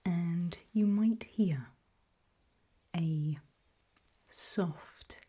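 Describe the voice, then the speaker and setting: Seeking a woman to play a faintly sinister female guide for creepy ASMR roleplay: soft, dark-toned whisper, calm, deliberate and ominous. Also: fairly low pitch, exaggerated voice. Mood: calm, ominous warning. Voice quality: breathy whisper. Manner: slow, deliberate, coolly instructive, softly ominous.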